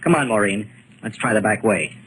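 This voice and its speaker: An actor playing a cocky, slangy young newspaper photographer turned sleuth in a 1940s radio drama. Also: male voice, on the low side, brisk, a bit bright, close to an everyday voice. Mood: urgent. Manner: breezy, slangy, wry. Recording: faintly noisy old 1940s radio recording.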